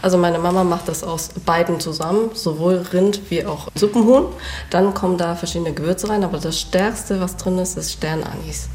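A woman's voice: on the low side, steady tone, normal speed, a little mellow, a little hiss or hum. A young woman born and raised in Germany, a restaurant owner and cook, giving short, plain answers in an interview and laughing often.

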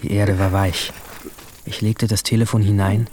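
A man's voice: fairly low pitch, little pitch movement, normal speed, a little mellow, slight background noise, natural voice. An actor narrating as a withdrawn, brooding 17-year-old boy in a radio drama, subdued and introspective. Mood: detached, bitter. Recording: music behind the voice.

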